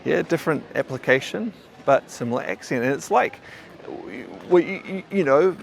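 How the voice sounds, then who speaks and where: A man's voice: a little high, lively intonation, normal speed, creaky, a little hiss or hum. A man with a mild New Zealand accent, a qigong teacher talking casually and thoughtfully to camera, often stammering over restarts. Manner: thoughtful, rambling, frequent stammered restarts and fillers.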